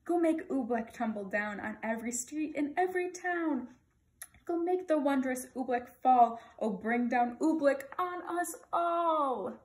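A sinister storybook magician played by a woman chants a spell in a rising, fairly high voice. Words are stretched out and beat in rhythm like an incantation. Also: animated, measured pace. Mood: gleeful incantation.

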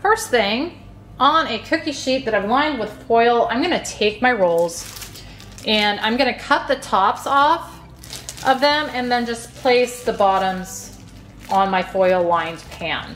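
A woman's voice: fairly high pitch, animated, slow, a bit bright, roomy sound. A woman hosting a home cooking channel, talking casually and chattily as she walks through a recipe step by step. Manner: chatty, friendly, easygoing explanations. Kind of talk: casual recipe walkthrough voice-over.

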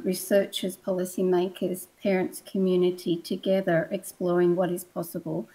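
A woman panelist speaking in a measured, formal way. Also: on the low side, warm tone, poor audio. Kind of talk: panel discussion.

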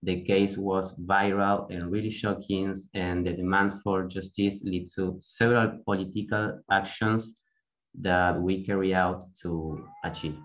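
Young adult, Argentine Spanish accent, Spanish native speaker, deep low voice, deliberate earnest delivery, video call from a home room. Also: steady tone, low-quality recording. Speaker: activist speaker. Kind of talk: prepared conference talk. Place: small home room with bookshelves. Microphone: earphone mic on a video call.

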